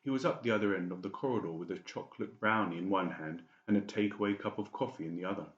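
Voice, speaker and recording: A man's voice: low voice, fast talker. A man narrating a dramatic audiobook story from a script. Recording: roomy, slightly dull sound.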